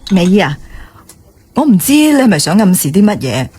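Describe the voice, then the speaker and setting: A woman acting a capable, polite young career woman in a radio drama, measured and guarded. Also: medium pitch, sing-song, warm tone, husky. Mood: courteous, measured. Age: young adult.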